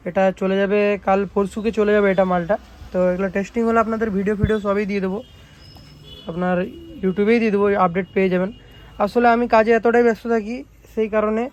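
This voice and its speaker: Male shopkeeper vlogger, high register, rapid informal sales patter with repeated check-ins, slightly noisy recording. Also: a little mellow. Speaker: speaker repair shop owner presenting products. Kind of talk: casual product demonstration vlog. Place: small electronics repair shop.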